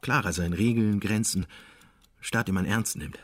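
An actor playing an agitated, cynical state security investigator in a naturalistic radio drama, blunt and insistent. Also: male voice, on the low side, fairly bright tone. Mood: brooding, insistent. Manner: insistent, blunt, halting repetitions when worked up.

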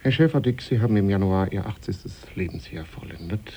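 A man's voice: sits low, brisk, mellow, a little hiss or hum. A man hosting a formal radio interview in a studio, with carefully prepared questions.

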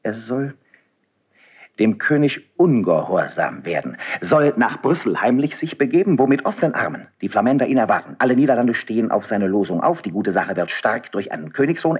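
An idealistic nobleman in a classical radio play. His voice is low and husky, with a very animated melody. He speaks in an earnest, declamatory, rhetorical manner. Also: highs cut off. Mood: rousing, fervent. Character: idealistic nobleman marquis, earnest persuasive conspirator and friend. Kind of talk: classical German verse radio drama acting.

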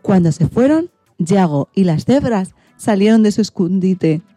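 Mellow, unhurried woman storyteller. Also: medium pitch, animated, dry recording, faint music behind. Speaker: narrator. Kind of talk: children's radio story narration.

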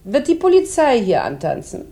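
An actress playing a jaded, hard-drinking, sharp-tongued woman in a 1960s German radio crime drama, with an ironic, languid manner. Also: fairly high pitch, huge pitch swings, moderate pace, slightly rough, full character voice. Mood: mocking.

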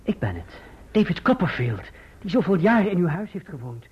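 Earnest young gentleman in radio drama: formal, husky, expressive pitch, muffled recording. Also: normal speed, exaggerated voice. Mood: urgent, soothing. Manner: formal, literary. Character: earnest, mannerly young gentleman recalling his past. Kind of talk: radio play narration and dialogue.

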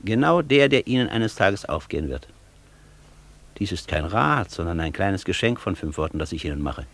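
An actor playing an aloof, world-weary old Faust who speaks in sententious, disdainful aphorisms in a German radio play. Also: male voice, deep voice, expressive, vocal fry, exaggerated voice. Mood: cryptic. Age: older. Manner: sententious, disdainful, deliberately simple.

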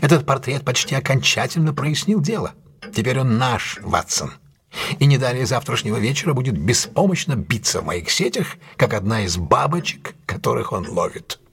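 An actor playing a shrewd, self-assured detective in an audio drama, with a husky voice and a brisk, imperious, theatrical manner. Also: male voice, medium pitch, very animated, a bit bright, heavily acted. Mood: triumphant, scheming. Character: commanding, shrewd, self-assured male detective plotting a trap.

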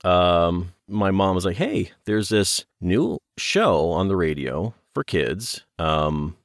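A middle-aged man with a low-pitched voice, recorded close and dry. He talks in a relaxed, conversational way as an interview guest, with enthusiasm and some self-deprecating humor. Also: takes it slowly, no echo. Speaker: audiobook and audio drama producer, interview guest.

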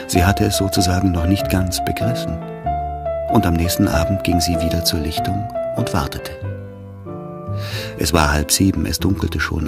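An actor narrating a literary love story in a radio play, in a measured, intimate manner. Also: male voice, sits low, gravelly. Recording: music behind the voice. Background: loud music.

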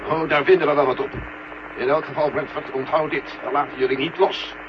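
Guy acting bossy gruff general in old radio play, blunt threats, voice a bit high, dull muffled sound. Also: steady tone, fairly quick, background noise, natural voice. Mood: threatening. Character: gruff, exasperated American general at Mission Control. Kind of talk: 1970 Dutch radio drama acting. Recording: muffled, humming 1970 radio recording.

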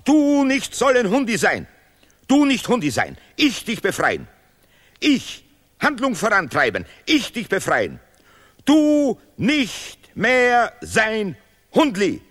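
A man playing a pompous patriotic artist in a radio play, declaiming in repetitive, deliberately broken German. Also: high voice, huge pitch swings, a bit bright, exaggerated voice. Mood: urgent, excited. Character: pompous Nobel laureate artist, zealous patriot of language and fatherland.

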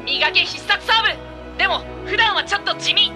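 A woman voicing an energetic, boastful teenage boy on a volleyball team, excited and self-dramatizing. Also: very high-pitched, normal speed, music in the background. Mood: announcing. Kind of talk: anime drama CD acting.

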